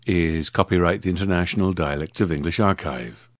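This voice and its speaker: A man reads a scripted identification notice as an announcer. His voice is on the low side, and the sound is dry with no room around it. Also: brisk, no room sound. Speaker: archive announcer.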